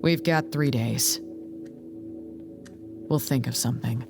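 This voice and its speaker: Weary, guilty survivor played by a woman: extremely low voice, subdued drama delivery, music underneath. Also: slow, creaky, full character voice. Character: guilt-ridden, weary survivor trapped at a site. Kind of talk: subdued audio drama acting.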